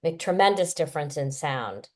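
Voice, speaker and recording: A woman's voice: deep voice, expressive, normal speed. A woman hosting a casual interview, asking chatty, emphatic questions. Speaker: interview host.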